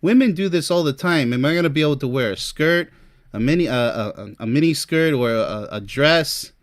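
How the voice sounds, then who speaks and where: A man's voice: a little high, lively intonation, fairly quick, no room sound, studio quality. A man giving informal relationship advice as a host, chatty and emphatic, speaking straight to the listener. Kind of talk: informal advice talk to camera, ranking topics.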